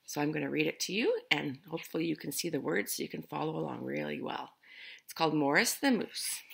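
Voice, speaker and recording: A woman's voice: low voice, lively intonation, fairly quick, gravelly, slightly rough. A middle-aged woman reading a picture book aloud and addressing young viewers at home. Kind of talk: children's read-aloud and friendly address to viewers. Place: living room at home.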